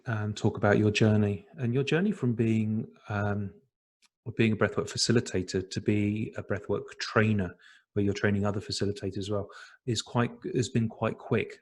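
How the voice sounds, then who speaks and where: A man hosting an interview, putting relaxed, friendly questions to his guest. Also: lowish voice. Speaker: interview host. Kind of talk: casual interview.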